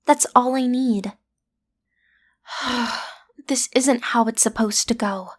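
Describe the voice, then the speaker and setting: A woman's voice: fairly high pitch, steady tone, slow pace. A young woman playing a lovestruck schoolgirl villain's daughter in an intimate audio roleplay, hesitant and playfully menacing, often slipping into a whisper. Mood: flustered, impatient. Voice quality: breathy, often dropping to a whisper. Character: lovestruck teenage schoolgirl, villain's daughter, awkward amateur kidnapper. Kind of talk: audio roleplay acting, intimate direct address to listener.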